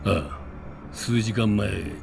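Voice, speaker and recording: An extremely gravelly, low-voiced secret agent, guarded and grave. He talks in measured, terse lines in a video game crime drama, with music behind him. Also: full character voice.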